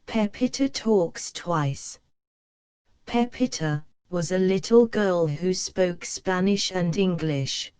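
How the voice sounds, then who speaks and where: A woman narrating a children's storybook in a slow, clear read-aloud manner. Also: on the low side, a little mellow, no room sound.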